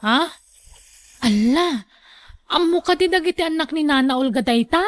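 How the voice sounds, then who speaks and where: A woman plays a younger village woman in an Ilocano radio drama. Her voice is extremely high, in a dry sound. She is inquisitive and disapproving, with a Filipino Ilocano accent. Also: animated, moderate pace, no echo. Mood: nosy, disapproving.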